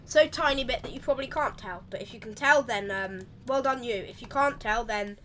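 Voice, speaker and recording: A woman streaming a driving game, her slightly croaky voice bright and a little high. She talks in a loose, chatty ramble, often doubling back to correct herself. A faint hiss sits under the recording. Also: a little fast. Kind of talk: live gameplay commentary.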